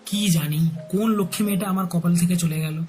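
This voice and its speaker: A man's voice: sits high, steady tone, a little fast, lightly acted. Teasing, devoted Bengali husband played by a man. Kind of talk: romantic audio story acting. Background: soft background music.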